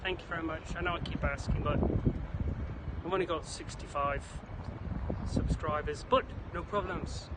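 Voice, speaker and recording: A man's voice: fairly high pitch, steady tone, moderate pace. A British man giving chirpy showman patter to viewers in a casual walking vlog, recorded outdoors on a handheld camera. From Isle of Man, British. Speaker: vlogger. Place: outdoors by a city creek. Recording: noisy outdoor city ambience.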